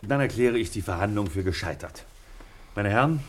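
An actor playing a firm, courteous police chief in a German radio play, formal and authoritative. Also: male voice, on the low side, expressive, fairly quick, slight background noise, natural voice. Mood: firm, resigned.

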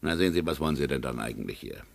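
A gravelly, condescending consul. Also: male voice, a bass voice, sing-song, racing, full character voice. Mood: officious, probing. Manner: condescending, officious. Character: haughty, evasive American consul, condescending bureaucrat. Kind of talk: German radio play acting.

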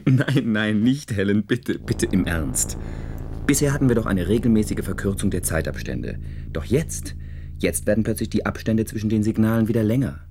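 An actor playing an earnest, shy, excitable young space researcher in a German science fiction radio play, speaking hesitantly. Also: male voice, lowish voice, moderate pace, slight background noise. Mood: earnest, urgent. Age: young adult.

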